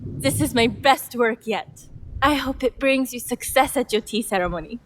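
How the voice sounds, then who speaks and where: An actress playing an obliging, slightly fussy silk tailor in a video game, polite and expressive as she deliberates. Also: a little high, natural voice, poor audio. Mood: eager to please. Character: obliging, fussy female silk tailor, proud of her craft. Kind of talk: dramatic video game cutscene acting.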